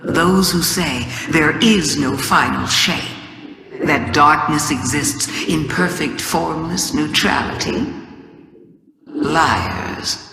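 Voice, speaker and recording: The villainess of a fantasy game, taunting intruders with slow, theatrical riddles down the long stone halls of her throne world. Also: extremely low pitch, creaky, fairly bright tone, heavily acted. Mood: grandiose, declarative. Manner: theatrical, slow, savoring each word. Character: scheming alien witch queen, taunting, theatrical riddler. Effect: cavernous reverb. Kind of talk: dramatic video game monologue. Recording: long reverberant hall echo.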